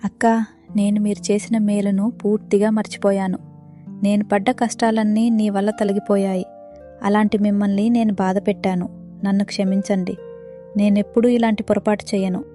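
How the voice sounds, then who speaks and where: A woman's voice: mid-range voice, flat delivery, moderate pace, mellow, faint music behind, lightly acted. A woman playing a devoted, easily hurt young village wife in a children's moral cartoon, speaking earnestly. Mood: remorseful.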